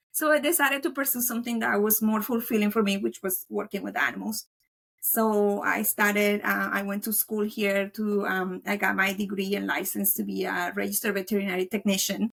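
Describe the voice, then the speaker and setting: Chatty woman with a Colombian accent, gravelly. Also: mid-range voice, a bit bright. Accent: Colombian Spanish. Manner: chatty, rambling, many "like" fillers. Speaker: guest, veterinary technician and instructor. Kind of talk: casual interview chat. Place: home setup, remote recording. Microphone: video call.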